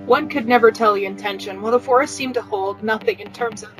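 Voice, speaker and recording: Female podcast host, slightly high voice, informal read-aloud and chat, faint music underneath. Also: quick pace. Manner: informal, swears freely. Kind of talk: casual chat and amateur read-aloud of fan fiction.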